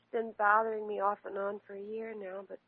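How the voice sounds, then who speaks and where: A woman's voice: medium pitch, level tone, brisk, close to an everyday voice. An actress playing a worried woman patient who talks hesitantly, in 1940s radio acting. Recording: tinny old radio recording.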